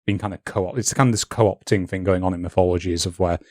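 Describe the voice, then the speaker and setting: A man's voice: sits low, steady tone, quick pace, darkish tone, no room sound, studio quality. A man co-hosting a podcast, thinking aloud in loose, hesitant chat. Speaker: podcast co-host. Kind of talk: casual chat.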